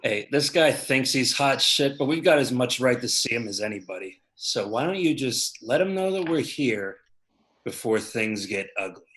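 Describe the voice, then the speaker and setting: A man's voice: medium pitch, expressive, moderate pace, a bit bright, close to an everyday voice. A man voicing a blunt, hotheaded young sidekick who speaks sarcastically. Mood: aggressive.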